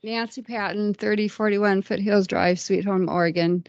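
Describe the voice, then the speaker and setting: A woman resident speaking in a friendly, slightly halting way at a council meeting's public comment. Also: mid-range voice, steady tone, darkish tone, dry recording. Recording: dull lo-fi council chamber mic.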